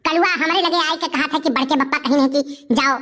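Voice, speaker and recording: A woman's voice: high voice, steady tone, a little fast, a bit bright, pitched above the performer's own voice. A woman voicing a coquettish, complaining village sweetheart with an Awadhi accent in a comedy cartoon. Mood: flirtatious. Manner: coy, sentimental, grumbling. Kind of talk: comic cartoon dialogue.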